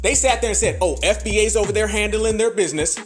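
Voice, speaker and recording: High-voiced man ranting to his listeners. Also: fast, soft background music. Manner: emphatic, profane, addresses audience as family. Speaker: podcast co-host. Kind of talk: commentary to listeners.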